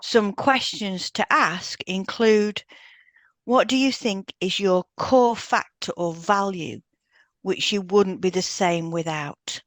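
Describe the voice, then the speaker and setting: A woman's voice: mid-range voice, slow, no echo. A woman reading a semi-scripted explanatory podcast as co-host.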